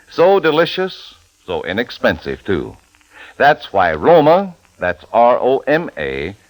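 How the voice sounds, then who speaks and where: A man's voice: medium pitch, no room sound, low-quality recording. An American man announcing in a formal, polished 1940s radio style, selling for a sponsor on a vintage recording. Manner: formal, polished sales delivery.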